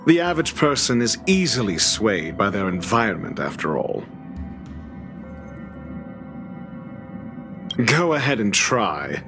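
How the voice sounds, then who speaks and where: An actor playing an arrogant, fastidious male scholar in a video game, pompous and eloquent, with deliberate dramatic pauses and cool disdain for fools. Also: on the low side, big pitch swings. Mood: dry, condescending. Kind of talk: scripted video game character acting. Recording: music behind the voice.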